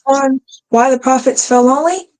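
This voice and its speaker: A woman's voice: high voice, normal speed, darkish tone, poor audio. A woman giving her thoughts casually as a panelist in a Bible study discussion.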